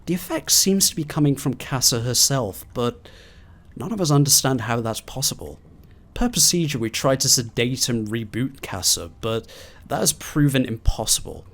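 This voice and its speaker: A man doing video game commentary and reading in-game documents aloud in a theatrical, expressive way. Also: mid-range voice, moderate pace. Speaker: gaming video host.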